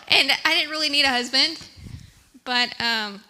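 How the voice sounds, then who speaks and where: A woman's voice: high voice, sharp tone. A woman missionary telling a story in a casual, chatty way to a church. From United States. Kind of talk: church presentation. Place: church sanctuary.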